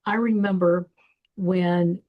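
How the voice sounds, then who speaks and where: A woman with a gravelly voice hosting a podcast, telling a personal story in a reflective way. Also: on the low side, fairly even intonation, takes it slowly, muffled recording. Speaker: podcast host. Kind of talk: personal conversation.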